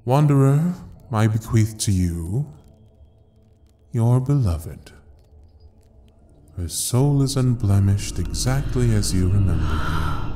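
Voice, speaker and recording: An actor playing a suave, menacing devil in an audio roleplay, speaking in a dark velvety voice with courtly, teasing deliberation. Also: male voice, deep voice. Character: suave, menacing male devil ruling a frozen hell. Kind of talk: ASMR audio roleplay acting.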